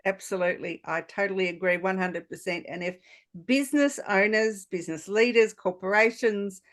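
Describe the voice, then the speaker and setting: A woman's voice: mid-range voice, steady tone, normal speed. Female podcast host, mid-range voice, agreeable and affirming, conversational interview delivery.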